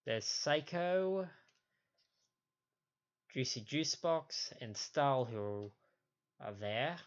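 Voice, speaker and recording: Sniffly man streaming, slightly low-pitched and dull-sounding. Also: animated, drawn-out words. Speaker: game livestream host. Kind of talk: casual stream chat. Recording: dull lo-fi stream audio, faint music.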